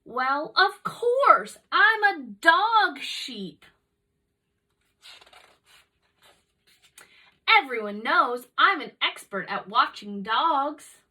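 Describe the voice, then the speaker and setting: A chatty, confident storybook sheep, acted out by a woman reader, with a high, bright voice. She is sure of herself and eager to help, and her pitch swoops freely as she takes charge. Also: sing-song, takes it slowly, few pauses, heavily acted. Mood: self-assured. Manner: self-assured, eager to help. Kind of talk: children's storybook read-aloud.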